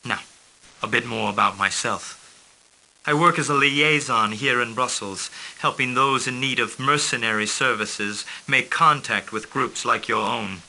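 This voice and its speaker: An actor playing a calm, formal male mercenary liaison who briefs a commander on missions in a video game. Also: mid-range voice, unhurried, poor audio. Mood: composed. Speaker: mission handler.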